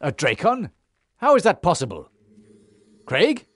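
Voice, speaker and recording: An actor playing an earnest, inquisitive young hero in a fantasy game, speaking in a direct, questioning way. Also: male voice, sits high, wildly swooping pitch, measured pace, fairly bright tone, no room sound, heavily acted. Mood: puzzled. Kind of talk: fantasy game cutscene acting.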